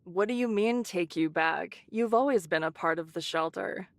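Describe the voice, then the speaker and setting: A woman voicing a caring, brisk shelter leader who scolds in a motherly, teasing way, in an English anime game. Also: on the low side, no room sound, natural voice.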